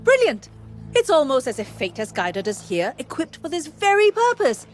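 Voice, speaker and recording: An actress playing an earnest, scholarly fantasy adventurer in a video game, articulate and expressive. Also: female voice, sits high, moderate pace, soft background music. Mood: excited, inspired. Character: eager, scholarly female fantasy adventurer ally. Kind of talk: dramatic video game dialogue.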